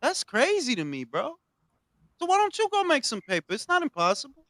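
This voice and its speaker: A man hosting a boxing talk show, ranting with heavy profanity and swinging from low mutters to loud outbursts. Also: wildly swooping pitch, fairly quick, dry recording, clean studio sound. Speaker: podcast host.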